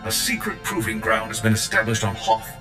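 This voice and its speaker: A man voicing a proud, deep-voiced combat droid. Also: monotone, brisk, close to an everyday voice. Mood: zealous. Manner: formal, precise, earnest. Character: proud, zealous, loyal military combat droid. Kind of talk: scripted video game acting. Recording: game cutscene with echo and music.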